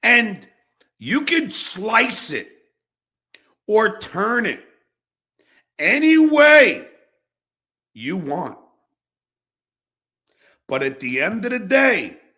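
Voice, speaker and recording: Male host, confrontational and profane ranting; very slow delivery with dramatic pauses, voice swinging widely in pitch and gravelly with fry. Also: bright, forceful tone. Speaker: online show host. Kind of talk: angry personal rant addressed to an absent rival.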